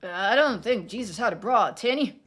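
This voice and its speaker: A woman's voice: mid-range voice, animated, moderate pace, fairly bright tone, husky. A woman voicing a dim, literal-minded male alpaca sidekick in a video game, oafish and stubborn. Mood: stubborn, confused.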